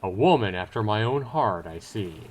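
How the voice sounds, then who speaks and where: Man doing a theatrical seafaring trader, lively pitch. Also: moderate pace, breathy edge, faint music behind. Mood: boastful, suspenseful. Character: salesman-like seafaring trader spinning yarns of the sea. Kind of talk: dramatic storytelling.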